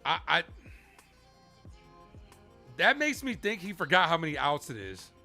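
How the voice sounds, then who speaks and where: Male livestream sports host, bright forceful voice, excitable play-by-play with chatty asides, faint music underneath. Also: fairly high pitch, unhurried, vocal fry. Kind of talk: live fan play-by-play commentary and reaction.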